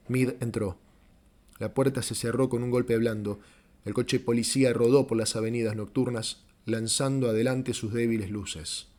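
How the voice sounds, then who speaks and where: A man's voice: mid-range voice, darkish tone. A man narrating a literary short story as an audiobook, in a scripted, dramatic storytelling style.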